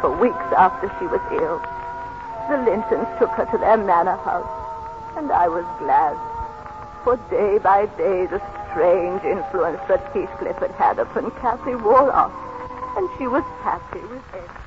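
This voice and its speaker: A woman's voice: medium pitch, music in the background, lightly acted. Watchful servant storyteller, acted by a woman, vintage radio. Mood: measured, reflective. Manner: measured storytelling. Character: watchful female old family servant recounting the past. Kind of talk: 1930s radio drama narration. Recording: muffled vintage radio recording.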